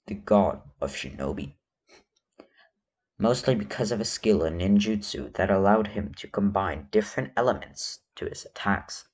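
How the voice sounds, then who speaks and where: A man reading a story aloud as a narrator, a little halting in his delivery. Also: fairly low pitch. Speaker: fan fiction reader.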